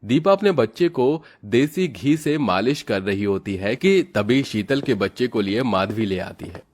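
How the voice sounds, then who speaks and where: A man's voice: fairly low pitch, animated, unhurried, darkish tone. A man narrating a scripted moral story for children as its storyteller.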